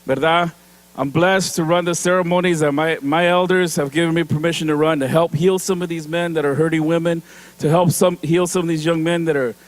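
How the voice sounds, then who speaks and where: A man's voice: high-pitched, low-quality recording. A man giving an award speech on stage, chatty and joking, often laughing and slipping into Spanish. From Mexican-American from San Diego. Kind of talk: casual speech with stage humor. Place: event stage before an audience.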